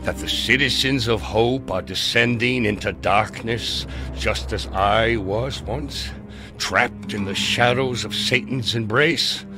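An actor playing a stern, righteous old gunslinger turned preacher in a video game, speaking in solemn, declamatory biblical phrases. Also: male voice, on the low side, slow pace, music in the background. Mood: solemn, troubled prayer. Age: older.

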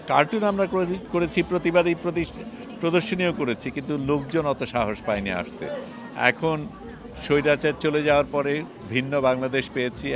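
Older Bangladeshi man in a busy crowd. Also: on the high side. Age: 60s. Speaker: interviewee, exhibition organizer. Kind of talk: unscripted interview. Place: busy indoor gallery. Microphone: handheld reporter microphone. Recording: busy crowd noise around voice.

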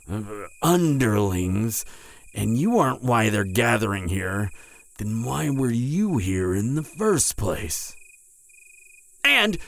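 A man's voice: medium pitch, a bit bright, no room sound, full character voice. A man playing a proud, wounded vampire hunter in an audio roleplay, stammering and strained with pain. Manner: stammering, halting, breathy sighs and groans. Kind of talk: intimate audio roleplay acting.

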